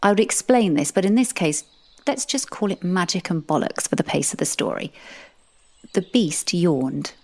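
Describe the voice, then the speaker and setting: A woman with a low voice narrates a comic audio drama in the first person. Her storytelling is dry and sardonic, delivered as the narrator living through the tale. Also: expressive, normal speed, a little mellow.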